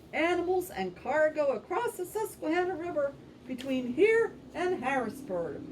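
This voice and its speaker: High, animated woman in a puppet show. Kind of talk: scripted puppet show narration. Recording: distant microphone, faint background noise.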